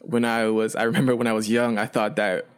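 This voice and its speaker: Young man, Chinese first language, Canadian-raised; low-set voice, loose and rambling with lots of fillers. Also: a little fast, clear, resonant tone. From China, raised in Canada. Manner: relaxed, rambling, frequent fillers and restarts. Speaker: guest. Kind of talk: casual storytelling.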